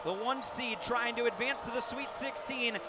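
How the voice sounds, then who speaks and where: A man's voice: extremely high pitch, normal speed. A man doing live basketball play-by-play for radio, with vivid, punchy calls that lift on big plays. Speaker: play-by-play sports announcer. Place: indoor basketball arena during a game. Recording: loud arena noise, bouncing balls. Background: loud game ambience, ball bounces.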